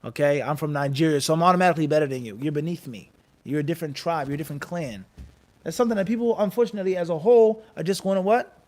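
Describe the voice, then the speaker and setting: High-pitched man teaching an Islamic class. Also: very fast. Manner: animated question-and-answer, prompting listeners to finish his sentences; mixes Arabic phrases and terms into English. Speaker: Islamic teacher leading a live-streamed class. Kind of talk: religious lecture to a class, conversational. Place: mosque class.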